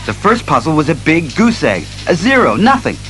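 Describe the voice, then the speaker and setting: Methodical masked detective, earnest, declamatory, animated intonation, slightly high voice, audio drama. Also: male voice, faint music behind. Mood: earnest, resolute. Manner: deliberate, declamatory deduction. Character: earnest, methodical male masked crime-fighting detective hero.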